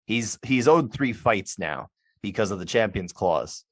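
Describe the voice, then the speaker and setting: A man's voice: lowish voice, expressive, no room sound. Chatty male podcast co-host, dry sound. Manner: chatty, restarts often, puts on quick voices for others. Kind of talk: casual sports chat.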